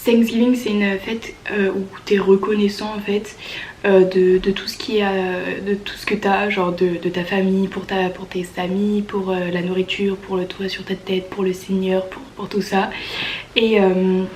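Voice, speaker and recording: A woman's voice: medium pitch, little pitch movement, moderate pace, darkish tone, a little hiss or hum, rough recording. Young French woman vlogging with a stuffy cold. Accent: French from France. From France. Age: early 20s. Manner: informal, youthful slang, enthusiastic. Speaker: au pair vlogger. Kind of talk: casual chatty vlog storytelling.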